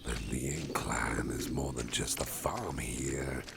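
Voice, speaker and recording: A man plays a huge, gruff but protective boss in an ASMR roleplay, rambling and chuckling as he goes. The voice sits extremely deep and gravelly, in a slow, unhurried drawl. Steady background noise with birds runs under it. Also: exaggerated voice. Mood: easygoing. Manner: rambling, chatty, chuckles to himself. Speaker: boss character carrying the listener. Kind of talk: ASMR roleplay, personal attention.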